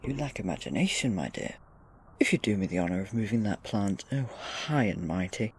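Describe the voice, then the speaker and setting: Man acting mysterious, courteous, lively-pitched gentleman. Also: a little hiss or hum. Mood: dry, courteous. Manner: formal, unhurried, dry. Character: mysterious, courteous man, dryly teasing and theatrical. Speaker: podcast drama actor. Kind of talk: audio drama acting.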